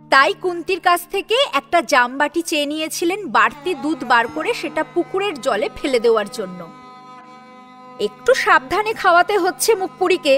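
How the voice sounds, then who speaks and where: A woman's voice: sits high, fairly bright tone. A woman reading a serial story as its narrator in a dramatic storytelling style, with music behind her. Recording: music under the voice. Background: sitar instrumental music.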